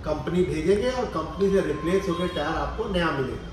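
A man's voice: on the high side, animated, fairly quick. Man, tyre shop staff, roomy distant sound, background noise. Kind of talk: sales talk. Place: small tyre showroom indoors.

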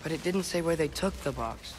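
A young man voicing an earnest teenage boy in a fantasy game. His voice sits a little high and youthful, with a curious, casual delivery over loud background noise. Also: fairly quick, lightly acted. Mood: thoughtful. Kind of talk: video game dialogue acting.

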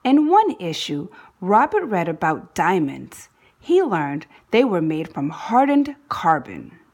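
A woman reads a children's story aloud at an unhurried pace. Her pitch moves with plenty of animation, and her voice has a husky, slightly rough edge. She phrases deliberately, giving key words dramatic weight. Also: huge pitch swings, a little mellow. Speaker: storybook reader. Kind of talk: expressive children's read-aloud narration.

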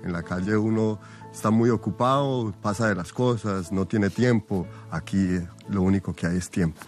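A man's voice: on the low side, normal speed, darkish tone, soft background music. A man in his thirties, an inmate, speaking earnestly and emotionally.

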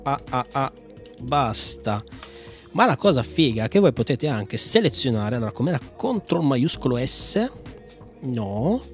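A man talks through a software tutorial at a middling pitch. He rambles and thinks aloud, poking fun at himself as he goes. Faint music plays behind him. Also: sing-song, a little slow. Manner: jokey, rambling, self-mocking, thinks aloud. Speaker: tech YouTuber presenting a software tutorial. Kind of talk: casual screencast tutorial.

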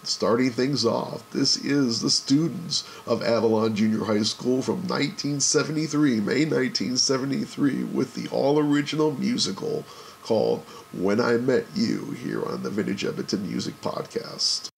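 A man hosting a solo podcast, hoarse from a cold and talking about records with a collector's enthusiasm. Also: mid-range voice, animated, normal speed, poor audio. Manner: enthusiastic collector, rambling with restarts. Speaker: podcast host. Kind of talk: casual solo podcast talk.

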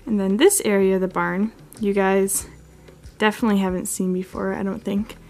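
A woman's voice: mid-range voice, steady tone, normal speed, darkish tone, slight background noise. A woman hosting her own channel, giving a casual walkthrough in a carpeted room while filming close with a handheld camera. She talks in a chatty way, with many "like"s and "um"s. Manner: chatty, filler-heavy, frequent "like" and "um". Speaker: model horse channel host.

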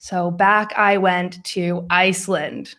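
A woman's voice: lowish voice, fairly even intonation, slow pace, fairly bright tone. A young American woman presenting a talk over slides, friendly, with frequent ums and small laughs. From United States. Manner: friendly, laughs often, fillers like um. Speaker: conference speaker.

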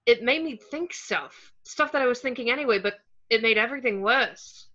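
Woman reading defensive young man, high and bright. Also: moderate pace. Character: defensive, awkward young man, a reluctant friend. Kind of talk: amateur screenplay table read. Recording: dull video-call audio.

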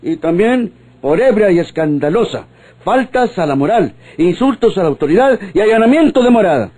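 An actor playing a crafty, obsequious Mexican police subordinate in a radio serial, with a husky voice. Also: male voice, sits high, big pitch swings, moderate pace, warm tone, heavily acted. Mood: officious, stern. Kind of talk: old radionovela acting.

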